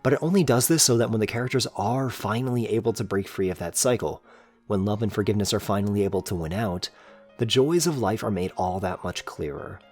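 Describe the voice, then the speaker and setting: A man's voice: on the low side, a little fast, a little mellow. A man reading scripted, analytical video essay narration in an earnest, articulate way with formal wording. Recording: music behind the voice. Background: background music.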